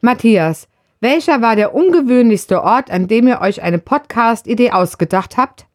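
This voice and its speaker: A woman's voice: a little high, lively intonation, normal speed, dry recording, clean studio sound. A woman reading out scripted questions for a podcast. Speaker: question reader.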